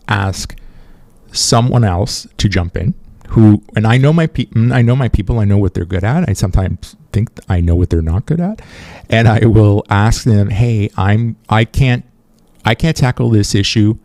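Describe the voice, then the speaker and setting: A man whose first language is French talks through his podcast in a relaxed, mellow, lowish voice. He speaks conversationally, often restarting a phrase and tagging "right?" onto his points. The sound is dry and close, with no room around him. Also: dry recording. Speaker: podcast host. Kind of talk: solo podcast talk on leadership.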